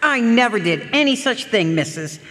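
A woman's voice: fairly high pitch, animated, moderate pace, fairly bright tone. A woman playing an indignant, folksy household servant in a live radio mystery. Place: live performance hall. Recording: reverberant stage in a large hall.

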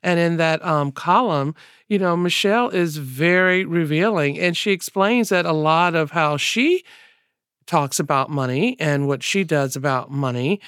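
A man's voice: on the high side, expressive, measured pace, a bit bright, smooth, no echo, clean studio sound. A man co-hosting a radio interview, talking conversationally with a few restarts and fillers. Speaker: radio co-host.

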